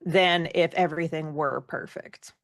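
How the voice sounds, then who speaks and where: Female interview guest, lowish pitch, dry sound. Casual, candid, reflective, self-correcting delivery. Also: fairly even intonation, a little slow, no room sound. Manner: candid, thinks aloud with many self-corrections.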